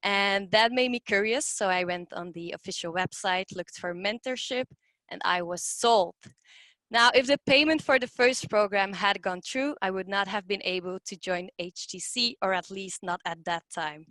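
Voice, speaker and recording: Bright-voiced Belgian woman with a Dutch accent. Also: mid-range voice, moderate pace, no room sound. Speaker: community manager. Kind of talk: personal story and class instructions.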